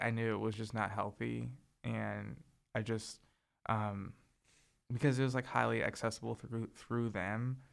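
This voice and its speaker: A young man co-hosting a casual chat, soft-spoken and hesitant, filling his talk with "like" and "um". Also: medium pitch, vocal fry, clear, resonant tone. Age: young adult. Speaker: podcast co-host.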